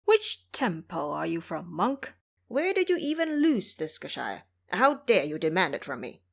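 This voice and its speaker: A woman voicing a scornful male monster king in an audio drama, speaking lower than her own voice. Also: wildly swooping pitch, normal speed, creaky, heavily acted. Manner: sneering, scornful.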